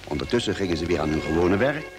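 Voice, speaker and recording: A man as an actor playing a space crewman who narrates a radio drama in a measured, grave way. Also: low-pitched, very animated. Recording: vintage radio recording, music behind.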